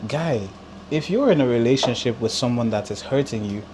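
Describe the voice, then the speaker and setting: A man hosting a relaxed podcast conversation, with Igbo as his first language. He talks in loose, animated bursts, leaning on fillers and restarting phrases. Behind him the recording carries a steady hiss and the hum of an air conditioner. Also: medium pitch, fairly quick. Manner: animated, talks with filler likes and repeats words. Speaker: podcast host. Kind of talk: casual podcast chat.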